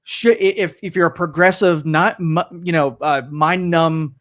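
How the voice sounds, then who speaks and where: High-voiced rambling man. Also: fairly even intonation, normal speed. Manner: rambling, argumentative, many restarts and mock quotes. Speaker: talk show host. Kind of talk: opinionated political talk. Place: studio.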